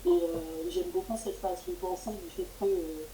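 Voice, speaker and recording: A young woman from French Guiana talks with an earnest, searching manner in a warm, mellow voice. Her call sounds roomy, with a faint hiss underneath. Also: mid-range voice, very animated, normal speed, gravelly. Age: 20s. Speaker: master's student interviewer. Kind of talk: casual interview conversation.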